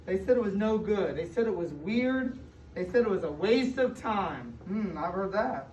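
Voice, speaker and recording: An American woman reads a picture book aloud to young children, pausing to explain and ask questions, with emphatic stretched words. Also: mid-range voice, animated, darkish tone. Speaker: school superintendent reading aloud to children. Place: indoor room with children present. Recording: distant, echoey, muffled room recording with noise.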